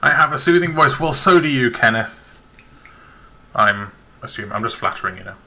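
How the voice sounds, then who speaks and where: A man's voice: mid-range voice, expressive, moderate pace, sharp tone. A man live-streaming his miniature painting at a home desk, muttering to himself and chatting to viewers. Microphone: webcam perched on a lamp.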